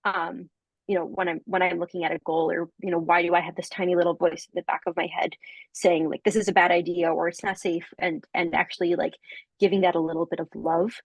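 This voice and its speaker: A young woman giving a coaching testimonial in casual conversation, halting and full of fillers and restarts, often laughing. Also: lowish voice, level tone, gravelly, no echo, muffled recording.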